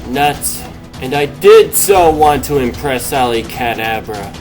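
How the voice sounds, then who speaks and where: A man's voice: medium pitch, expressive, a little slow, over music, close to an everyday voice. A man playing a boastful, bumbling animal superhero in a comic dub, wordy and blustering. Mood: disappointed.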